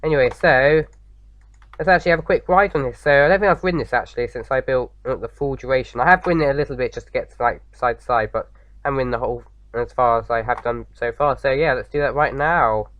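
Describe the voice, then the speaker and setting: A man with a slight sore throat, slightly croaky, chatting casually as he hosts a game playthrough, rambling with lots of fillers. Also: medium pitch, fast, dry recording, muffled recording. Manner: rambling, many fillers and restarts. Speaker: gaming video host. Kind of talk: casual let's-play commentary.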